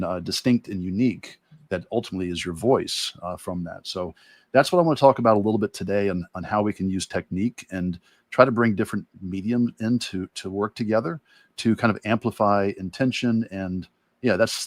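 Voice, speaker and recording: A man with a low voice, recorded close and dry, talks casually as an illustrator explaining his process. He is reflective and thinks aloud, restarting phrases as he works toward his point. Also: dry recording. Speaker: guest illustrator and art teacher. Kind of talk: casual explanatory livestream talk.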